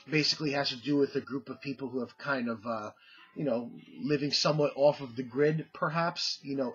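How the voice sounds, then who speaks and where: A man's voice: mid-range voice, fairly bright tone, husky, lo-fi sound. A man with a cold, chatting casually as he shows off comics he bought, with lots of fillers and restarts. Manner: rambling, many fillers and restarts. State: congested, under the weather. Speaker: comic collecting vlogger. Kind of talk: casual show-and-tell haul video.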